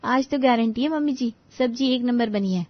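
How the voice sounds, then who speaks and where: Woman playing naive young daughter-in-law, eager, earnest, high voice, cartoon family story, dry muffled sound. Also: moderate pace, dry recording, close to an everyday voice. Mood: eager, proud. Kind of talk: animated moral-story dialogue.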